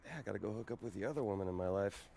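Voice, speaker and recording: A man's voice: on the low side, very fast, gravelly, background noise. A man playing a cocky, sarcastic young superpowered vigilante in a video game, teasing as he talks.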